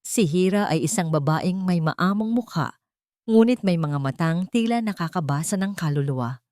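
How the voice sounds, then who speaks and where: A woman's voice: on the low side, lively intonation, moderate pace, a little mellow, dry recording. A woman narrating a scripted dramatic story as an audio drama narrator.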